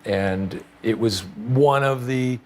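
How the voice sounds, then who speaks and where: A man speaks very slowly in a mid-range voice. He sounds like an interview subject reflecting on past work. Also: medium pitch, a little mellow.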